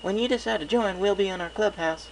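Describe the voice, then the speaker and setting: A man's voice: sits high, fast, vocal fry, a little hiss or hum, voice pushed up, poor audio. A man voicing an eager, earnest young filly pony with a folksy, childlike way of talking in a read-aloud story.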